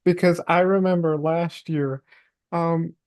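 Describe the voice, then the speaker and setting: Woman host, mellow dark voice, dry close mic, soft questions. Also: on the low side, fairly even intonation, slow pace, dry recording. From Colombian and Hungarian family. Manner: gentle questions, warm "mm-hmm" backchannels. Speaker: podcast host. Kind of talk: interview conversation.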